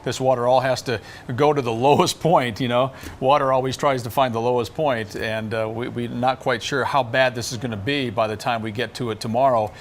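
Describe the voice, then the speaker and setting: Male TV anchor, average pitch, unscripted breaking-news delivery, slight background noise. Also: steady tone, brisk, hardly any pauses.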